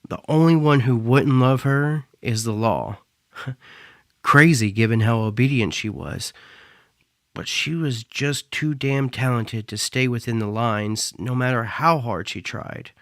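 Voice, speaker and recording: Male actor as a bitter young cyborg performer, mid-pitched, dry studio sound. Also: measured pace, no echo, natural voice. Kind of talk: audio drama acting.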